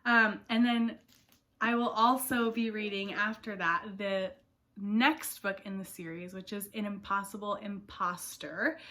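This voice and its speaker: A woman's voice: mid-range voice, fairly bright tone. A young woman book vlogger chatting casually to camera, her voice not fully back after an illness, rambling with fillers.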